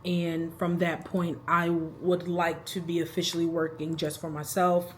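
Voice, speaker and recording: A woman's voice: fairly low pitch, level tone, measured pace, a little hiss or hum. A woman in her thirties talking casually to the camera in a rambling, chatty vlog, laughing between thoughts. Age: 30s. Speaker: vlogger.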